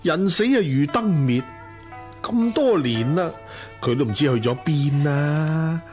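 An actor playing a doting, anxious wealthy husband in a radio drama, given to sighing. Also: male voice, a little high, animated, slow pace, darkish tone, soft background music. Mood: tender, distressed. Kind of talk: Cantonese radio drama acting.

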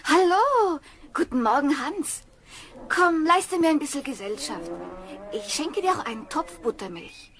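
A woman's voice: high-pitched, sing-song, measured pace, heavily acted. An actress playing an easygoing, generous young country goose girl in a fairy-tale audio drama, chummy and coaxing. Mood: friendly, coaxing.

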